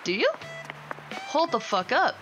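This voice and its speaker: Lively woman streamer over game music. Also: a little high, unhurried. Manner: expressive, gushing, slangy and sweary. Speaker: streamer playing a video game. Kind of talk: casual gaming commentary.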